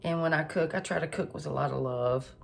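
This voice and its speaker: A woman, a little hoarse and stuffed up, chatting homily through a cooking tutorial for her viewers. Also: sits low, fairly quick, soft background music. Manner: homey, chatty, lots of fillers, addresses viewers directly. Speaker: home cook channel host.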